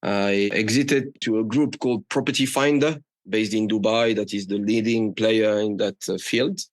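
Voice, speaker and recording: A man's voice: lowish voice, fairly even intonation, moderate pace, no echo. A man from Morocco with a French accent, speaking non-native English as a guest in a conversational interview. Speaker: startup founder, podcast guest.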